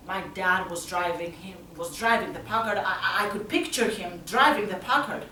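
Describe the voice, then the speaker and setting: A woman acting a tense, troubled youth haunted by violent parents in a halting, intense stage monologue. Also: medium pitch, close to an everyday voice. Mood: uneasy recollection. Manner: slow, halting, intense, from hushed to loud. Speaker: actress performing a solo monologue. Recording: roomy, distant mic, faint noise.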